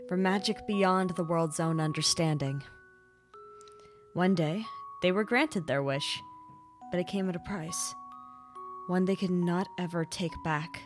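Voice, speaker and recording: A woman voicing a reluctant, gently teasing storyteller in a character voice piece, with a hesitant, soft-hearted delivery. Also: lowish voice, lightly acted. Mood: wistful storytelling. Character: reluctant, gently teasing storyteller, self-deprecating about magic. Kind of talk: character voice pack, conversational then fairy-tale narration. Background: background music.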